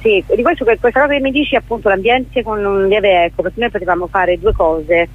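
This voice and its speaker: A woman's voice: medium pitch, brisk. A woman being interviewed on radio, explaining things spontaneously and often correcting herself as she goes. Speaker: theatre director, interview guest. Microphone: telephone. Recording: narrow, tinny telephone line.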